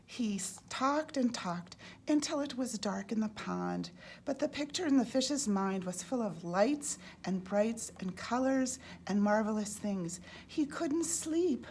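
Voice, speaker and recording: A woman reading a children's storybook aloud in an expressive way, as a storyteller. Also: on the high side, unhurried. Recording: clearly noisy background.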